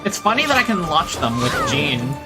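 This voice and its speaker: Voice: medium pitch, sing-song, moderate pace. A game streamer giving casual, rambling commentary while playing. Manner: chatty, rambling, with restarts and little exclamations. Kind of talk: casual commentary over gameplay. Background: loud game music and sound effects.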